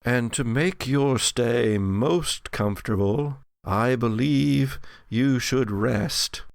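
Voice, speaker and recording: Casting: male player as sinister gothic butler for a horror game, measured, polite, quietly threatening, close dry sound. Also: mid-range voice, vocal fry, no room sound. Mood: politely menacing. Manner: formal, courteous, measured servant speech. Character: tall thin male butler, unfailingly polite, quietly menacing.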